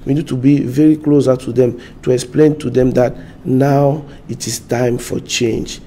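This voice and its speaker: Gravelly guy stumbling thru english answers, dark low tone. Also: little pitch movement. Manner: halting, repeats words, searches for English. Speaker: party spokesman. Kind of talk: studio interview answers.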